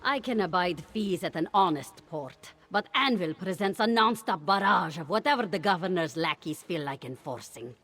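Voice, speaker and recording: A woman's voice: on the low side, animated, normal speed, hardly any pauses, a bit bright. A woman voicing a defiant, scheming ship captain in a video game, with a sardonic, colourful manner. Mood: indignant, sardonic. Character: wry, scheming female ship captain, hard-drinking and defiant. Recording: noisy ambient background.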